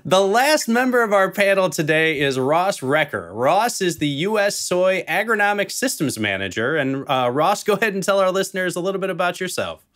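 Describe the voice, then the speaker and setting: A man's voice: high-pitched, big pitch swings, normal speed, hardly any pauses, fairly bright tone. A man in his thirties hosting a casual farm podcast panel over a video call, upbeat and joking. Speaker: podcast host. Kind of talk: casual hosted panel chat. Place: home office, indoors. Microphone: video call, webcam mic.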